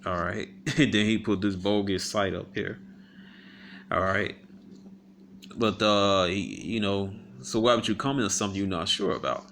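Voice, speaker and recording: A man giving casual commentary on a video. He reads viewer comments aloud, rebuts them, pauses often with "um," and keeps asking "all right?". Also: fairly low pitch, fairly bright tone, slight background noise. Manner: halting, many ums and repeated "all right?" tags.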